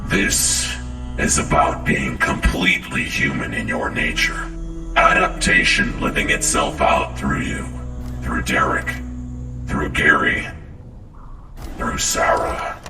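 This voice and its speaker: A menacing, philosophizing sci-fi game villain. The voice is extremely deep, portentous and scornful. It sounds echoey and set back, with music behind it. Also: male voice, wildly swooping pitch, slow pace, gravelly, pauses often, recorded from a distance, heavily acted. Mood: ominous. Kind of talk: scripted dramatic game monologue.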